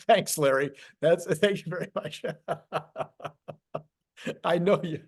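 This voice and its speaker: A male show host with a slightly high-pitched voice talks casually about the markets. His manner is jovial and easygoing, as in a relaxed chat. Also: very slow, slightly rough. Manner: jovial, laughs often.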